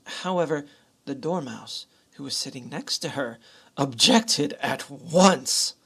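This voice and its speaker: A woman with a husky, breathy voice narrating a storybook tale for a radio play. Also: a bass voice, expressive, slow.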